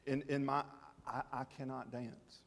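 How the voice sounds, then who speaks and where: A man presenting at a town information session, explaining to the public in a halting, plain-spoken way. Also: mid-range voice, little pitch movement, vocal fry. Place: town meeting hall. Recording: long reverb of a meeting hall.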